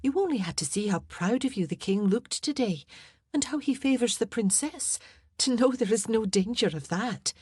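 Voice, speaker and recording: Woman acting a high, lilting Scottish lady-in-waiting. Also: animated, normal speed, darkish tone, husky, dry recording, studio quality. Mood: reassuring. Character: devoted Scottish lady-in-waiting, reassuring and spirited. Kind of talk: audiobook character dialogue.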